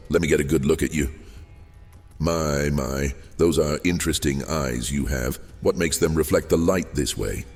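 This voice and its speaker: A man playing an old, fading captive djinn in a fantasy audio drama, courteous and wheedling, with silky theatrical delivery and hesitant pauses. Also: extremely low pitch, vocal fry, slightly rough, exaggerated voice. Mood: sly, courteous. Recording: long cavernous reverb, music underneath. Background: music.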